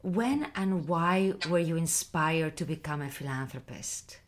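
Low-voiced woman hosting an interview. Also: unhurried. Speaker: talk show host.

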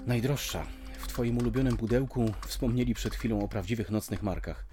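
A man's voice: mid-range voice, a little fast, close to an everyday voice. A man playing a lonely, irritable husband who grumbles sardonically to himself, in a radio play. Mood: rueful. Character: lonely, irritable husband missing his absent wife. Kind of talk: Polish radio play acting, dialogue and monologue. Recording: music under the voice.

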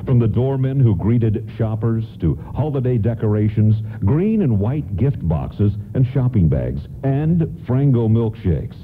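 A man's voice: medium pitch, sing-song, unhurried, few pauses, a little hiss or hum. A man announcing and narrating a scripted radio program. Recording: tinny narrow-band radio broadcast. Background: prominent music.

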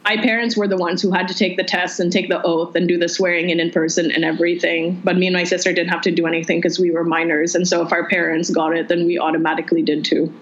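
A young woman from the Philippines, telling her own story in a relaxed, chatty way with many "like"s. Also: quick pace, few pauses. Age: young adult. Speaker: interviewee. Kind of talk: casual chat. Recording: muffled low-fidelity audio.

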